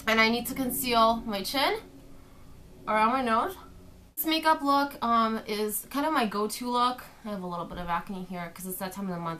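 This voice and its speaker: A young woman with a slightly hoarse voice from a sore throat, chatting through a makeup tutorial in her bedroom, recorded on a webcam. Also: on the high side, sharp tone. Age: young adult. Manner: chatty, explains each step while applying makeup. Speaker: beauty vlogger. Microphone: webcam at desk distance.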